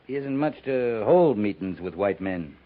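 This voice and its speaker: An actor playing a seasoned, understated frontier cavalry captain in a 1950s radio western, speaking in a clipped, dry way. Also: male voice, fairly low pitch, expressive, unhurried. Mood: dry, matter-of-fact. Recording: muffled, noisy 1950s radio recording.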